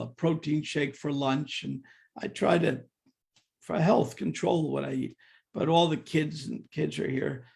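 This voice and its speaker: A man's voice: a little high, creaky, a little mellow. An older man leading a devotional scripture class, mixing humorous personal stories with questions to the audience and often stumbling over repeated words. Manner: many repeated "and, and" restarts. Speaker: class speaker.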